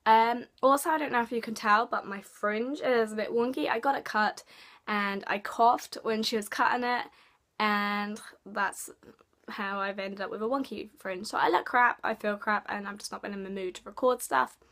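A young woman with a hoarse, failing voice, chatting casually and apologetically to her webcam at home. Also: medium pitch, steady tone, moderate pace, fairly bright tone. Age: young adult. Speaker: vlogger. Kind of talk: casual vlog chat to camera. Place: bedroom at home, indoors.

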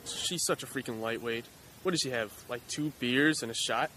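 A man's voice: mid-range voice, moderate pace, bright, forceful tone, lightly acted, poor audio. A man playing a flippant, teasing teenage buddy in an audio drama, with a slangy, offhand manner. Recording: faint background noise.

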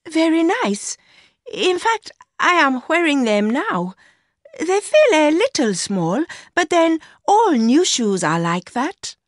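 A woman voicing a timid young woman, meek and hesitant but softly stubborn, in an audiobook story. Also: sits high, big pitch swings, slow, dry recording, clean studio sound, voice pushed up, exaggerated voice. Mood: meek, guarded. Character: timid young woman, rich older man's secret lover. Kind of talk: acted dialogue in audiobook story.

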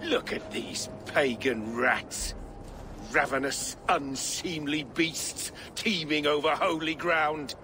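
A man's voice: on the high side, takes it slowly, background noise. An actor playing a proud, defiant Saxon king in a video game, speaking with commanding scorn. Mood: scornful.

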